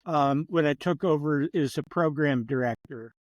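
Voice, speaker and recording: A man's voice: a little high, moderate pace, dry recording, lo-fi sound. A man in his sixties, a professor and podcast guest, chatting casually and telling wry stories. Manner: reminiscing storyteller, chatty and wry. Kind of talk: casual conversational interview.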